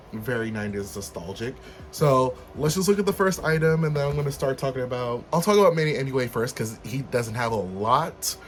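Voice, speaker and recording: A male vlogger talking casually to his viewers, his voice a little raspy and hoarse. He is chatty and animated, and his medium-pitched voice jumps suddenly higher when he gets excited. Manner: chatty, animated, with sudden high upbeat outbursts. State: slightly hoarse from being under the weather. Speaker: YouTube host. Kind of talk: casual rant vlog.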